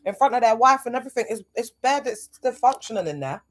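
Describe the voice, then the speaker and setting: A woman's voice: a little high, fast talker. Chatty woman on a livestream. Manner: animated, chatty, rhetorical asides. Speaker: livestream host. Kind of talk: casual livestream talk.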